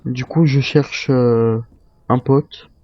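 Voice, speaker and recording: A man's voice: medium pitch, steady tone, takes it slowly, no echo, highs cut off. A man playing a guarded retired military man turned hunter in an improvised zombie game roleplay, with terse questions and replies. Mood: subdued.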